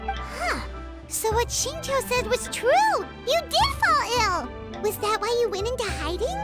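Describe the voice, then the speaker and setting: Squeaky, excitable tiny game sidekick. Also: female voice, a little slow, loud music behind the voice. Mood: curious, puzzled. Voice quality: squeaky, childlike. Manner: refers to self in third person, exclamatory. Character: tiny excitable floating girl companion, childlike and cheeky. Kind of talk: video game character dialogue.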